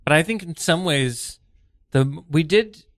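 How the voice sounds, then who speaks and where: A man's voice: fairly high pitch, expressive, unhurried, no echo, studio quality. A man talking casually as an improv teacher, hedging his opinions in a tentative way. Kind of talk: casual discussion.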